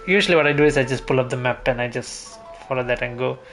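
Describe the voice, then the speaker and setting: A man's voice: mid-range voice, fast. A man giving casual gameplay commentary as a streamer, musing and thinking aloud to himself. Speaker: game streamer. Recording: game music behind the voice.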